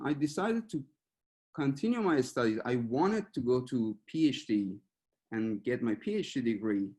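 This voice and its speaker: Iranian-born man giving a relaxed webinar talk. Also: medium pitch. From Iran. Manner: relaxed, searching for words, with small laughs. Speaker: machine learning researcher giving a webinar.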